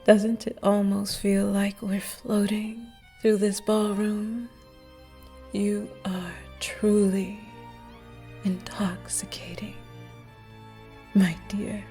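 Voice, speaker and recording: A woman voicing a charming, flirtatious female vampire at a masked ball in an audio roleplay, speaking in soft, seductive whispers with a breathy voice. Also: mid-range voice, little pitch movement, extremely slow, long pauses, faint music behind.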